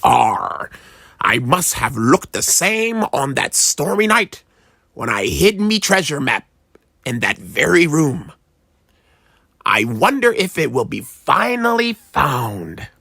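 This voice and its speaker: A gruff old pirate captain's ghost spins a children's storybook tale. The voice is gravelly and swings widely in pitch, unhurried and nostalgic, thick with piratical arrs. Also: very animated, bright, forceful tone, dry recording, studio quality, full character voice. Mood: pleased, musing. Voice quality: gravelly, growling.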